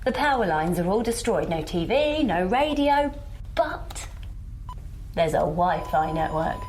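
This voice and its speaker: An actress with a British accent playing an anxious, resourceful woman surviving an alien invasion, speaking in an urgent, halting, pragmatic way in an audio drama. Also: fairly low pitch, very animated, a little mellow, a little hiss or hum. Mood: tense, urgent. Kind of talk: naturalistic audio drama acting.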